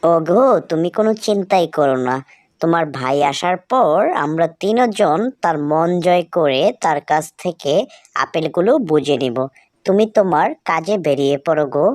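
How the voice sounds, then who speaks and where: A woman's voice: sits low, moderate pace, darkish tone, dry recording, studio quality, lightly acted. A woman playing a dutiful, reassuring village wife who is eager to please, in a Bangla cartoon. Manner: deferential, reassuring.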